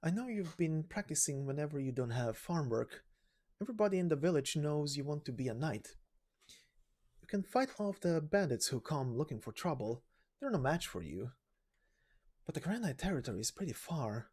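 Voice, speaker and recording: A man voicing a concerned villager in a game story, talking in a gentle, earnest way. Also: on the high side, very animated, normal speed, breathy edge. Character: concerned villager questioning a would-be knight friend.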